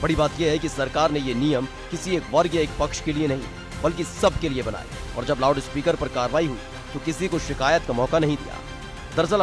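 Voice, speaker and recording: A man reading a scripted news report as a voice-over, with a punchy, emphatic and dramatic delivery. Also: on the high side. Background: music bed.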